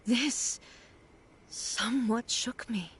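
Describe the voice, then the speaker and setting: A calm, slow-spoken regal ruler. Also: female voice, fairly high pitch, slight background noise. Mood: reflective. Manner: measured, formal, contemplative.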